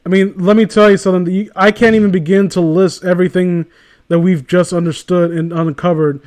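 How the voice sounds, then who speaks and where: A man with a notably high voice hosts a comedic read-aloud. He narrates, then cuts in with sarcastic, incredulous riffs and casual swearing. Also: level tone, fairly quick, darkish tone. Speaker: host reading a story aloud.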